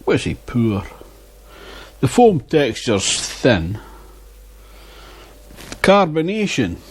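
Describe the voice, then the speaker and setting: A man's voice: medium pitch, wildly swooping pitch, very slow, breathy edge. A man reviewing beer in a casual vlog, chatting and muttering asides to himself on a lapel mic. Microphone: wireless lapel mic.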